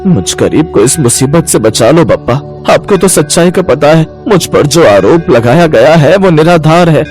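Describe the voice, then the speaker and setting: A man voicing a humble, devout village milkman in an earnest, plaintive way for an animated moral story. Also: fairly high pitch, normal speed, faint music behind, lightly acted. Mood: pleading.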